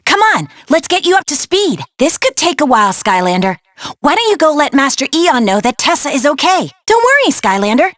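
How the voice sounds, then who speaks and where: A heroic young adventurer woman in a video game, with a high and very lively voice. She is brisk and earnest, bossing and encouraging her team with real care, recorded close and dry. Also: no room sound. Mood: determined, concerned. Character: spirited young female adventurer, bossy, caring, heroic. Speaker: video game character, dispatcher and guide. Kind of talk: animated video game voice acting.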